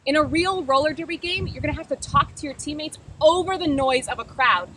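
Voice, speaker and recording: A woman giving upbeat, encouraging instruction as a roller derby coach and read-aloud host, projecting clearly. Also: sits high, fairly quick, sharp tone, slight background noise.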